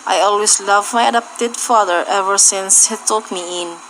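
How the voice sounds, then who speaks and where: A woman's voice: mid-range voice, moderate pace, fairly bright tone, lightly acted. A woman playing an earnest young lady doctor, an adopted daughter, in an amateur audio drama on a noisy home recording. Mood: earnest, defensive.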